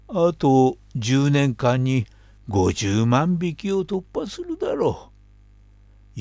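A man's voice: medium pitch, a little mellow, no room sound. An old man doing a worried old earthworm. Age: 70s. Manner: gloomy, grave, lecturing.

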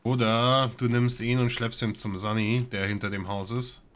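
A man's voice: mid-range voice, normal speed. A man talking casually and jokingly with his squad while playing a military simulation game on a headset. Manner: relaxed, joking, laid-back. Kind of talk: casual squad chatter during gameplay. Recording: gunfire and game noise behind.

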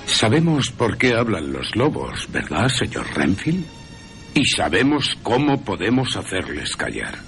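Man acting a solemn, deliberate vampire-hunting professor. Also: on the low side, big pitch swings, fairly bright tone, voice pushed up, heavily acted. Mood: knowing. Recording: music under the voice.

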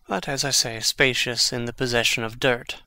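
Mocking young prince, theatrical, crisp articulate voice, Shakespearean audio drama, close dry recording. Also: male voice, medium pitch, steady tone, moderate pace, bright, forceful tone, no room sound. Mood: dryly mocking. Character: witty, sardonic young prince, brooding and mocking.

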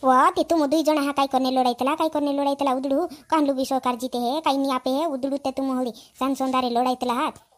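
Woman as a very high-pitched, chiding village woman. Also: little pitch movement, fairly quick, lightly acted. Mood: exasperated, chiding. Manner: chiding, repetitive. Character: exasperated village woman breaking up quarrels. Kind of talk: cartoon comedy dialogue.

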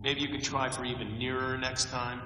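A man's voice: mid-range voice, flat delivery, brisk, natural voice. A man playing a cocky, glib movie star fighter, chatty and flippant, in a video game. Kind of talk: video game comic-relief acting. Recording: echoey hall, faint noise.

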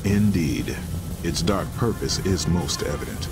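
A grave male Jedi master with a lowish voice. He commands sternly and formally in a game, with music behind him. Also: a little slow. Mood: stern, resolute. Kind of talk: video game cutscene acting.

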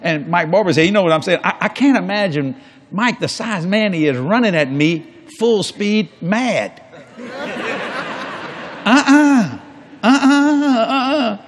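A man's voice: high voice, lively intonation, measured pace, a little hiss or hum. An older man preaching a sermon on a large church stage before a congregation, folksy and jokey, acting out quoted people in different voices.

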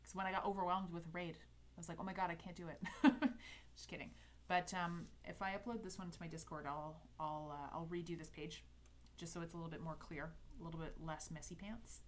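A woman's voice: on the low side, fairly even intonation, brisk, slight background noise. Chatty Canadian woman on a headset mic. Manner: rambling and self-interrupting, with light laughs. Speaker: calligraphy teacher streaming. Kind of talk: casual livestream lesson, chatty asides. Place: home desk studio indoors.

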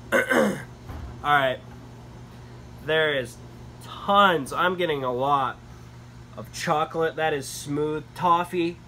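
A young man casually reviewing beer at home, talking through each sip with relaxed, enthusiastic comments and frequent fillers, filmed in a small wood-paneled room. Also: a little high, slow pace, long pauses, a little hiss or hum.

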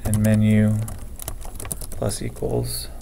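Man narrating a coding screencast: flat, gravelly, unhurried, light background hiss. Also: on the low side. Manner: slow, methodical, thinking aloud while typing. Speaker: programming teacher. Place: at a computer, keyboard typing heard.

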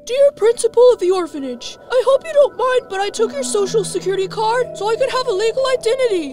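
A woman's voice: extremely high pitch, animated, fast, over loud music. A woman voicing a small, indignant, outspoken orphan troll boy in a cartoon. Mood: defiant. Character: squeaky, defiant little orphan troll boy. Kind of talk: cartoon fandub.

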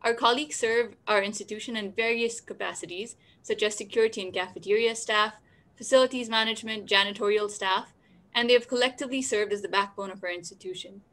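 A woman in her twenties from Nepal, giving a steady, formal welcome as co-moderator over a webcam. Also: mid-range voice, quick pace. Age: 20s. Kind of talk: scripted welcome. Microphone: video call webcam.

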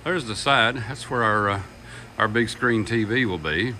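An older man giving a chatty, folksy walkthrough of his house under construction, filming on his phone and filling pauses with "uh". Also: fairly low pitch, bright, forceful tone, slight background noise. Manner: folksy, chatty, with many "uh" fillers and "yeehaw". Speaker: vlogger touring his house build. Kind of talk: casual walkthrough narration. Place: unfinished wooden house frame, indoors and on outdoor steps. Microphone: phone camera held by the speaker.

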